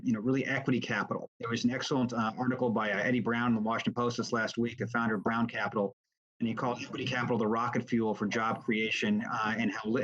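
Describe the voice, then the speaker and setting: A man speaks at a middling pitch as an expert witness at a formal hearing. He explains in a measured but halting way, thinking as he goes. The sound is dull and lo-fi, like a video call. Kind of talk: formal testimony, speaking off the cuff.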